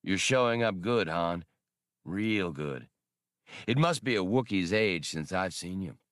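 Man voicing a gravelly, deep old trooper. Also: lively intonation, measured pace, a bit bright, no room sound, clean studio sound. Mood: warm, hearty. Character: big old trooper, sly, folksy, hearty old friend. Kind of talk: audiobook character dialogue.

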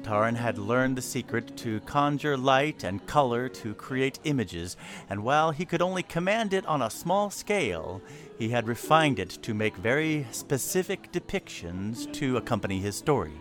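A man's voice: mid-range voice, expressive, moderate pace, fairly bright tone. Male fantasy story narrator over music. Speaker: narrator of a fantasy audio drama. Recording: clear music behind the voice.

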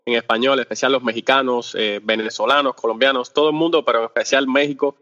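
Cuban man, hesitant and halting, echoey. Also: medium pitch, fairly even intonation, a bit bright. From Cuba. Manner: hesitant, searches for words with many fillers and restarts. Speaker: podcast guest, off-road video creator. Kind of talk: casual interview talk. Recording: dull lo-fi sound with long reverb.

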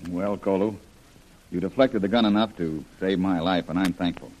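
An actor playing a rugged, level-headed adventurer hero in a 1930s radio serial, with a husky voice. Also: male voice, deep voice, moderate pace, darkish tone, low-quality recording. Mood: reassuring. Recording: old radio recording.

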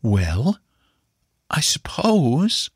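A weary mother as a male narrator plays her explains things to her child with quiet bitterness. The voice is lifted in pitch, in the manner of audiobook dialogue, and recorded very dry. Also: very animated, a little slow, no room sound. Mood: slow, bitter.

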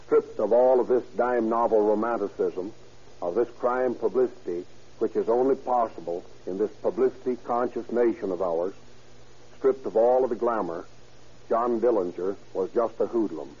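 A man's voice: fairly low pitch, moderate pace, dark tone, husky. A man with an American accent gives a solemn, formal scripted address in 1930s radio style, as a police chief. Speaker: police chief guest commentator. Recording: muffled vintage 1930s radio broadcast.

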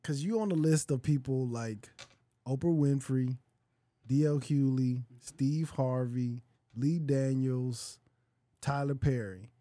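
A man hosting a casual interview, relaxed and playful, laughing along with his guest. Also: mid-range voice, takes it slowly, no echo. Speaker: podcast host.

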